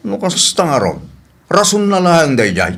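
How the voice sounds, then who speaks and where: A man's voice: a little high, big pitch swings, a little slow, a bit bright, heavily acted. A man playing a protective, indignant older brother in a radio comedy drama, plain-spoken and questioning. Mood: indignant, probing.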